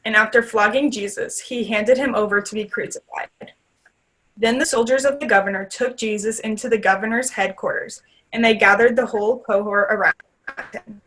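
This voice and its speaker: Higher-voiced woman narrating scripture. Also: fairly bright tone, low-quality recording. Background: faint guitar music.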